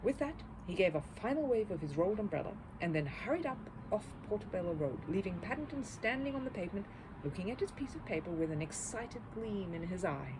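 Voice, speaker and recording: A woman reading a children's story aloud as an expressive narrator. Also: medium pitch, a little fast. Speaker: storybook reader. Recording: clear background noise.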